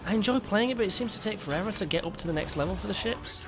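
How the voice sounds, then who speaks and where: A man's voice: sits high, animated, racing. A man hosting casual gameplay commentary, rambling with stumbling restarts and a lingering cough. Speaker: gaming video host.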